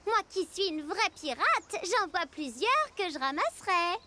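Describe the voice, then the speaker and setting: A woman's voice: extremely high pitch, sing-song, a little slow, very noisy, full character voice. A woman voicing a plucky, bossy but encouraging little girl pirate captain in a cartoon. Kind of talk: animated cartoon acting. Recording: loud music behind the voice.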